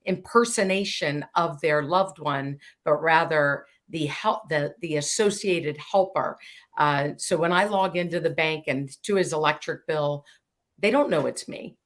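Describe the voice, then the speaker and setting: A woman in her fifties speaking on a conference panel. Her voice is low-pitched and a little raspy, and she speaks in an earnest, animated way. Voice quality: a bit raspy. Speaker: panelist, chief executive.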